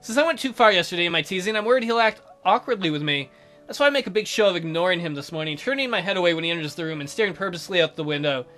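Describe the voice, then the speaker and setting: A man's voice: high voice, racing. A man hosting a let's play, reading visual novel narration aloud with casual asides. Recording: soft music behind the voice.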